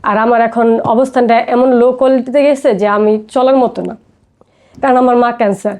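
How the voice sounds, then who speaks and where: A woman's voice: on the high side, steady tone, quick pace, a little mellow. A woman with a Bangladeshi accent telling her personal story casually as a guest, often acting out quoted dialogue.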